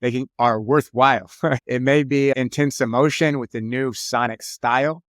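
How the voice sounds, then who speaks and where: Podcast host, man, early forties, reflective, smooth clear voice, dry booth sound. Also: medium pitch, fairly even intonation, moderate pace, fairly bright tone, no echo. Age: 40s. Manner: reflective, thoughtful questions. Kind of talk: casual interview chat.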